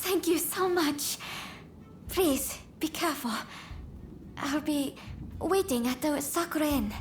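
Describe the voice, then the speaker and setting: A woman voicing a gentle, worried young wife in samurai-era Japan for a video game, polite, soft-spoken and earnest. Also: high-pitched, takes it slowly, a little hiss or hum. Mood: anxious, grateful. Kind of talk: dramatic video game voice acting.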